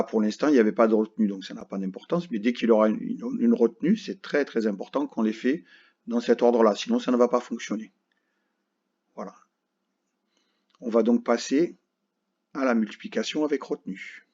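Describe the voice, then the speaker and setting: A man's voice: medium pitch, dark tone, husky. A male teacher patiently explaining a math lesson to children step by step, often checking that they follow. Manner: patient, explains step by step, keeps asking "d'accord?". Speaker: primary school teacher. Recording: dull lo-fi recording.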